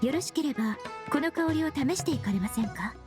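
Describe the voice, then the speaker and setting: A woman's voice: high-pitched, expressive. A woman playing a polite, composed young female perfumer in an anime game, speaking formally and knowledgeably. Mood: courteous. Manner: courteous, formal, measured. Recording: music behind the voice.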